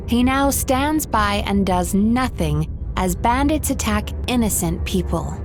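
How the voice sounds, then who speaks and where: An actress playing a composed, firm and caring dream therapist in an anime video game, speaking in a measured, explanatory manner. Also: female voice, medium pitch, natural voice.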